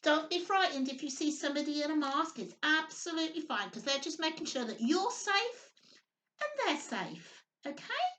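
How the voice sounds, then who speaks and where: A woman's voice: high-pitched, very animated, normal speed, fairly bright tone, a little hiss or hum. A woman hosting storytime for young children, reading aloud and chatting in a sing-song, simple way with frequent tag questions. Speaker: children's storytime host, nursery worker. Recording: roomy echo, distant microphone.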